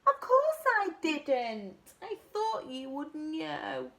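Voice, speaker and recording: A woman's voice: high voice, huge pitch swings, very slow, sharp tone, pitched above the performer's own voice, exaggerated voice. Woman voicing a fretful little monkey. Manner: rising cries of protest, sing-song rhyme. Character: small anxious little monkey looking for mum. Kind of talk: storybook read-aloud.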